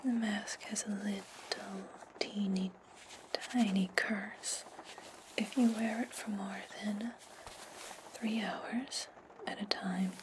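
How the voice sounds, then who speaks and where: A woman playing a calm, commanding, sly witch in a whispered ASMR fantasy roleplay, instructing her servant slowly and deliberately. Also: medium pitch, noisy background, lightly acted. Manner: slow, deliberate, hushed instruction.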